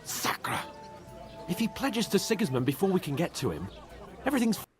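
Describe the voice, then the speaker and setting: An actor playing a pompous, excitable young nobleman in a video game, theatrical and quick to indignation. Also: sits high, faint music behind. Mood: indignant. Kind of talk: dramatic video game dialogue.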